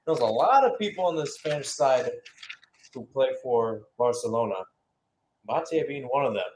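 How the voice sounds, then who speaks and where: A man's voice: medium pitch, animated, normal speed, darkish tone, slight background noise. A man giving live radio-style football play-by-play, mixing calls of the action with conversational analysis, hesitations and self-corrections. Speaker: play-by-play commentator.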